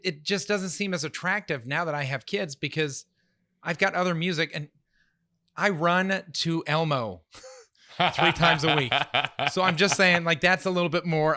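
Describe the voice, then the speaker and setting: A man with a slightly nasal voice co-hosting a casual talk show, animated and laughing often. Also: on the high side, no echo, studio quality. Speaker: podcast co-host. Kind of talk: casual chat.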